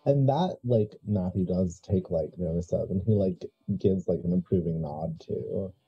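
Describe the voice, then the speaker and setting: A man's voice: sits low, moderate pace, slightly rough, dull audio, faint music behind. A man playing a tabletop roleplay game, casually improvising narration for his character.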